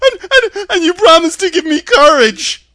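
A man's voice: very high-pitched, lively intonation, moderate pace, sharp tone, no echo, pitched above the performer's own voice, exaggerated voice. A man voicing a timid big lion in a storybook, stammering and blustering in a whine. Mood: nervous demand.

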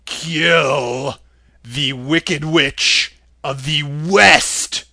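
A man voicing a stern, commanding wizard's head, speaking slowly and loudly. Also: on the high side, no echo, clean studio sound, heavily acted. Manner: slow, loud, commanding. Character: Oz as a great head, male, booming and stern.

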